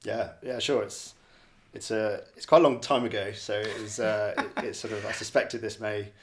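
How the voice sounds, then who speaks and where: A man's voice: on the low side, steady tone, normal speed. A man from the borders of Scotland giving a reflective, casual interview answer as a podcast guest, hesitant and often rephrasing himself. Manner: hesitant, repeats and rephrases phrases. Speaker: podcast guest, real estate director.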